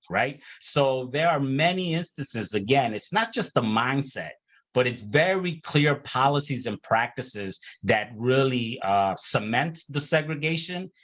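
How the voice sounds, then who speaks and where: A middle-aged man from Chicago, speaking earnestly on a panel over a video call and pausing often with filler sounds. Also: mid-range voice. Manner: earnest, many hesitations and restarts.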